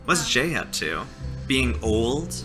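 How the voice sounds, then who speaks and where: A man's voice: mid-range voice, very animated, slow pace, music in the background. A man talking informally as a player in a tabletop game, with "like" peppered through his sentences. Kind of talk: casual game chat and narration.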